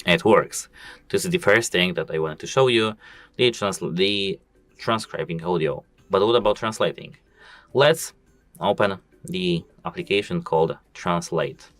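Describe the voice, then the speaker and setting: A man with a very low voice hosts a casual how-to video. He walks through each setting step by step and keeps pointing things out with "as you can see". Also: measured pace, fairly bright tone, no room sound. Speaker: tech tutorial host. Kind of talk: casual phone how-to walkthrough.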